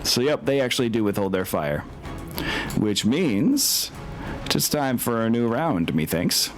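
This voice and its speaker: A man's voice: medium pitch, very animated, measured pace. A man hosting a tabletop game as game master, thinking aloud as he casually narrates and works through rules. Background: music.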